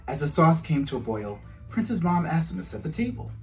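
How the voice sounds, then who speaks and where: A man's voice: on the high side, big pitch swings, fairly quick, darkish tone, far from the mic, faint music behind. A man, an author, reading his children's storybook aloud to young listeners.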